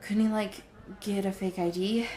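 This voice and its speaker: A woman's voice: mid-range voice, steady tone, moderate pace, dark tone, close to an everyday voice. A woman acting a protective, reassuring young woman in a naturalistic audio drama. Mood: worried.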